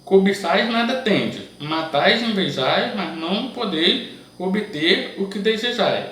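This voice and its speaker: A man reads scripture aloud and breaks off to comment in a loose, conversational way, often tagging his lines with "né?". His voice sits fairly high and swings lively up and down. He is recorded from a distance in a strongly echoing room. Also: takes it slowly. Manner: reads verses aloud, then comments with frequent "né?" asides. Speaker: preacher reading scripture. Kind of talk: informal Bible reading and commentary. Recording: distant mic, very echoey room.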